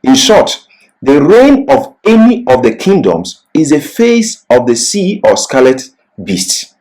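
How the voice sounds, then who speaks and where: A man giving a scripted Bible lecture as a teacher, with deliberate, emphatic phrasing and pauses for explanation. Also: on the high side, huge pitch swings, a little mellow, poor audio.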